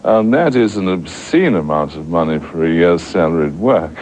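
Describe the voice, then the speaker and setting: A man in an interview speaking in an indignant, deliberate way. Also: deep voice, animated, a little mellow.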